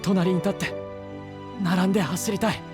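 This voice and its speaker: An actor playing a brash, devoted young knight-to-be who talks bluntly and casually in an anime-style voice drama. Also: male voice, high voice, fairly even intonation, moderate pace, over music, natural voice. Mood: earnest devotion. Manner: blunt, casual rough masculine speech. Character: brash, earnest young male knight-to-be, rough-spoken but devoted.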